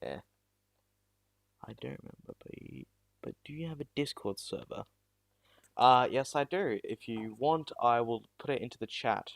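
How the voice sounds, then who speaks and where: A man's voice: medium pitch, normal speed, gravelly, pauses often, no room sound. A teenage boy from South Australia, chatting casually with viewers on a live stream, rambling and making fun of himself. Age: teens. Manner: rambling and self-deprecating, with many restarts. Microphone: new USB mic.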